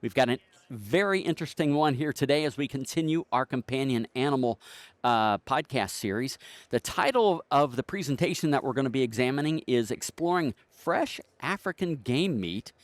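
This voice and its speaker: A man hosting a podcast, easy and relaxed, often pausing with fillers as he introduces guests. His voice sits in a middle range. The recording is close and dry. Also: mid-range voice, no room sound. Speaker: podcast host. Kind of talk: casual hosting.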